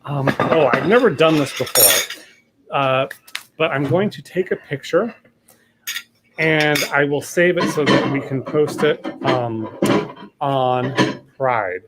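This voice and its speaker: A man's voice: medium pitch, takes it slowly, long pauses, very noisy. A man hosting a casual live cooking demo, rambling with lots of fillers and restarts, in a home kitchen. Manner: says he has a loud voice. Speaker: cooking show host.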